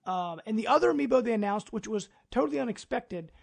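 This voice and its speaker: An American man hosting a casual podcast chat in a high voice with noticeable vocal fry. He comes across as upbeat and agreeable, talking in an informal, conversational way. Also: animated, fast talker. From United States. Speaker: podcast host.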